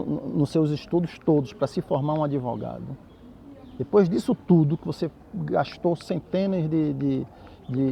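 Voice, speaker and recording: A middle-aged Brazilian man speaks with a Brazilian Portuguese accent in a gravelly, dark, mellow voice. He reasons his case out loud as a capoeira master, often tagging on a questioning aside to draw the listener in. Faint room ambience sits behind him. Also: mid-range voice, a little hiss or hum. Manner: reflective, argumentative, with frequent "né?" tags. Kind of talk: spoken commentary to camera.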